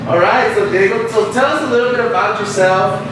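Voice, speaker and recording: A man's voice: high-pitched, a little fast, noisy background. A man hosting a casual interview, upbeat and filler-prone. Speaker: host, interviewer. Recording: echoey room, far from mic, music behind.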